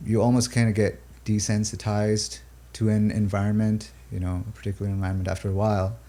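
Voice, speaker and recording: A man from Pakistan and the UAE, native Urdu speaker, talking thoughtfully about his music in a halting, filler-filled way. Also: lowish voice, level tone, dark tone. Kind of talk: reflective interview talk.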